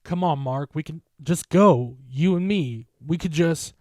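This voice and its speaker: A man's voice: on the high side, measured pace, dry recording, pitched above the performer's own voice, lightly acted. A frantic tearful young woman, voiced by a male narrator. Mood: pleading. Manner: shouting, pleading.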